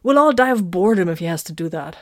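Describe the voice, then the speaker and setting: A woman voicing a smooth, wry male space marine. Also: on the low side, lively intonation, quick pace, no echo, studio quality, natural voice.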